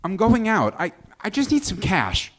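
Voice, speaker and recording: A defensive, exasperated sitcom woman, read by a man, speaking high with lively swings of pitch. The lines come in a live table read, as if cornered by nosy friends. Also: normal speed, exaggerated voice.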